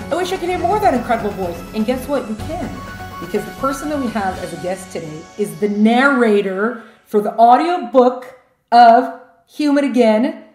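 Bubbly woman hosting, roomy sound. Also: on the high side. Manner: bubbly and excitable, laughs often. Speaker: podcast host. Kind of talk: enthusiastic podcast hosting.

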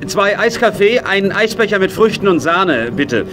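Kindly, animated horse-estate owner, standard German. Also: male voice, high voice, moderate pace, over music. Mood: curious, bantering. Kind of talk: children's radio play acting.

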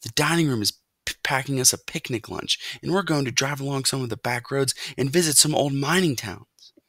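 A woman's voice: extremely low pitch, brisk, a bit bright, dry recording, clean studio sound, voice pushed up, exaggerated voice. A woman voicing a friendly, confiding human boy in a children's storybook.

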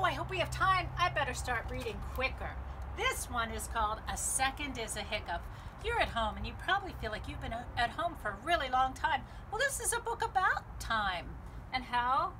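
High, animated woman reading to children. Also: noisy background. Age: middle-aged. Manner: lively, sing-song, with drawn-out deliberate phrasing. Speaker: library storytime host. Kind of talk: picture-book read-aloud for children. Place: outdoors on a home patio.

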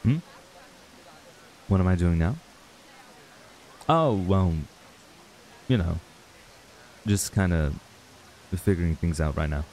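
A man acts out a wistful young man in an intimate ASMR roleplay. His voice sits low and has a dark, relaxed tone. He talks in a laid-back, casual and slightly hesitant way, as to an old childhood friend. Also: slow, long pauses, slight background noise, rough recording.

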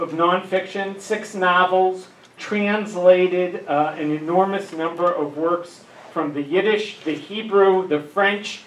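A man's voice: sits high, a little hiss or hum. Older man lecturing in an echoey room. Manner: many filler uhs, dry asides. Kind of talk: academic lecture. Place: dim lecture room with projector. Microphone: handheld mic. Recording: echoey room, camera far off.

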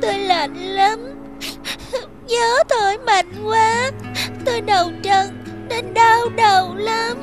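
A woman's voice: extremely high pitch, over music. A tearful poor shepherd girl. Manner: sad, halting. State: crying.

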